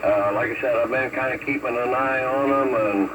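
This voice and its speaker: Male CB operator from the United States, heard through heavy radio noise, mid-pitched, folksy casual chat. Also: fairly even intonation, moderate pace. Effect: two-way radio transmission. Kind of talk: casual radio chat with call-sign procedure. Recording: noisy CB radio reception with static.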